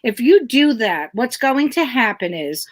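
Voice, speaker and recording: A middle-aged woman comedian with a loud voice, ranting emphatically and swearing freely in casual chat. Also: on the high side, big pitch swings, unhurried. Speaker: comedian guest.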